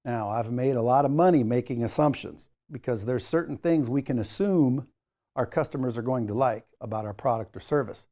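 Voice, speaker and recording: Mellow-voiced male sales trainer, emphatic. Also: medium pitch, a little fast. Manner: emphatic, jokey asides, coaching tone. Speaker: sales trainer, course instructor. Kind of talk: instructional presentation to camera, direct address.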